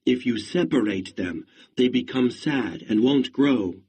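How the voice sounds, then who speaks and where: A man's voice: medium pitch, lively intonation, measured pace, darkish tone, husky. Brief: humble young farmer, male narrator voicing, earnest, near the narrator's own voice. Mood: gentle.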